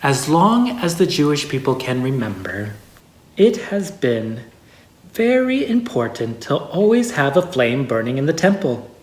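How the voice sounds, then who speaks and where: Storyteller, medium pitch, lively intonation, measured storybook phrasing, expressive pauses, roomy echo. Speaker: story reader. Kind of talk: reading a holiday story aloud. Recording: echoey room.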